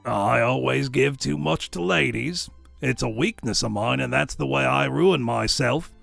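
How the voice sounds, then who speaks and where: A man's voice: medium pitch, a little slow, gravelly, fairly bright tone, no echo, soft background music, exaggerated voice. A man voicing a sly, grudging old dealer in stolen goods, wry and haggling, in a storybook reading. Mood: mock generous. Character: sly, miserly old male fence, grudging. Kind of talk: storybook character voice.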